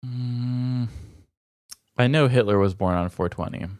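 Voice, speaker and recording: A man's voice: medium pitch, measured pace, a little mellow, dry recording, studio quality. A man co-hosting a comedy podcast, chatting casually with a playful, wry manner. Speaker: podcast co-host.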